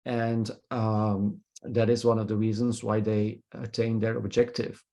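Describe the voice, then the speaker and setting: A man from Belgium, leading a film discussion over a video call, pausing often with filler sounds and checking in with "hmm?". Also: on the low side, flat delivery, warm tone, muffled recording. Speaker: discussion leader, professor.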